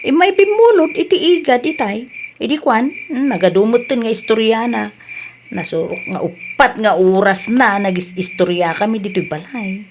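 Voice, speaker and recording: Woman playing a high-voiced chatty Ilocano village wife. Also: moderate pace, a little hiss or hum, natural voice. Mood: placating. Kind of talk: radio comedy drama acting.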